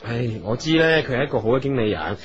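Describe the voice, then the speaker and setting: A man's voice: mid-range voice, lively intonation, quick pace, close to an everyday voice. An actor playing a timid, anxious male performer in a comedic radio drama, hesitant and apologetic, with a Hong Kong Cantonese accent. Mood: meek, apologetic. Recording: slightly muffled radio sound with music.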